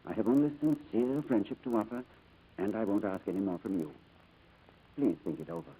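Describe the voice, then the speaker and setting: An actor playing a reserved, courteous gentleman with a hesitant, formal manner in a 1940s radio drama. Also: on the low side, brisk, phone-quality sound, close to an everyday voice. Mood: gentle, pleading. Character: reserved, courteous wealthy English businessman, haunted by lost past. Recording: noisy vintage radio broadcast.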